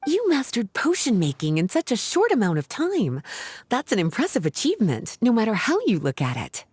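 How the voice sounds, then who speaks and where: A woman playing a confident, teasing lady scholar in an anime game, smooth and playful. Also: a little high, wildly swooping pitch, normal speed, darkish tone, dry recording, heavily acted. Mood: admiring. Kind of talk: anime video game voice acting. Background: music.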